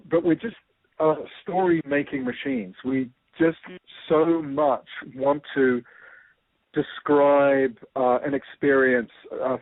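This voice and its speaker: A man's voice: medium pitch, no room sound. A man with a New Zealand accent, a guest explaining ideas through a personal story, thoughtful and full of fillers. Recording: tinny phone-like line.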